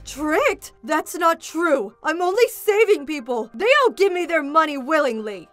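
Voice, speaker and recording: An actress voicing a scheming, self-righteous fortune teller with theatrical indignation in a manga dub. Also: female voice, high-pitched, lively intonation, measured pace, a bit bright. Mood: defiant. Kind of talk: dramatic manga dub acting. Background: music.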